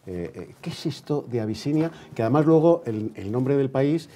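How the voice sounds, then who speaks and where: A man's voice: medium pitch, animated, moderate pace, warm tone, slight background noise. A man from hosting a broadcast interview in a conversational, somewhat hesitant way, repeating words as he goes. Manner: hesitant, repeats words, checks with short tags. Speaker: TV programme host, interviewer.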